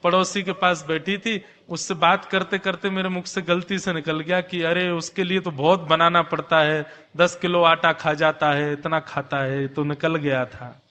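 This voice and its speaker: A man's voice: on the high side, level tone, measured pace, hardly any pauses, sharp tone, pitched below the performer's own voice. A man voicing a talkative, overworked housewife in a devotional story, gossipy and given to exaggeration. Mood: sheepish. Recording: long hall reverb.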